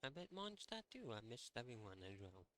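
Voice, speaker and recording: A man's voice: medium pitch, very animated, fairly quick, bright, forceful tone. A man making brief, offhand casual comments while playing through a video game.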